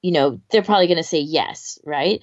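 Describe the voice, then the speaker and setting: A woman's voice: low-pitched, brisk, dull audio. A woman co-hosting a casual podcast discussion, thinking aloud in a tentative, hedging way. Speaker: podcast co-host.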